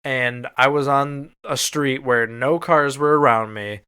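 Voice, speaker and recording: A man's voice: medium pitch, fairly even intonation, measured pace, pushed and bright, smooth. An American man co-hosting a podcast, chatting and telling stories casually with some swearing. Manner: casual, profane. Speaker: podcast co-host. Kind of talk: casual podcast chat, storytelling.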